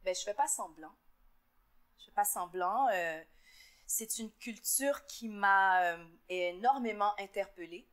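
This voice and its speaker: A woman from Montreal with a Québécois accent, answering interview questions in an earnest, reflective way, often pausing with fillers and repeating words. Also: medium pitch, fairly bright tone. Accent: Québécois French. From Montreal, Quebec. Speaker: interviewee, entrepreneur.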